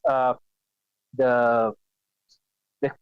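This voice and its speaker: A man's voice: medium pitch, flat delivery, very slow, smooth, no room sound. A man from Congo with a Congolese accent, calling in to a talk show and speaking hesitantly with many fillers and restarts. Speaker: caller. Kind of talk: phone-in call. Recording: dull lo-fi phone-in audio.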